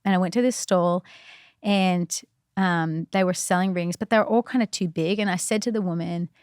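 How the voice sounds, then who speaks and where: A woman's voice: on the low side, steady tone, moderate pace, a little mellow, smooth, dry recording, studio quality. A woman guest on a podcast, telling personal faith stories in an earnest, chatty way, full of "like" and "you know". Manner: earnest and reflective. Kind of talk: casual faith storytelling.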